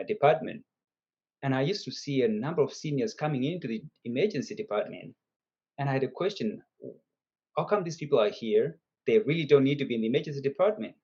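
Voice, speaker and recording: A man's voice: medium pitch, mellow, lo-fi sound. A man in his fifties from Zimbabwe, telling his story as a guest in a casual video call interview. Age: 50s. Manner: chatty storyteller, laughs between points. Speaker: guest entrepreneur.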